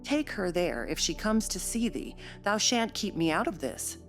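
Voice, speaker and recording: Storybook figure: a rough young lad, blunt and gruff, as a woman puts him on. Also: mid-range voice, very animated, moderate pace, faint music behind, pitched above the performer's own voice, lightly acted. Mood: furious. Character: rough, hot-tempered young farm man.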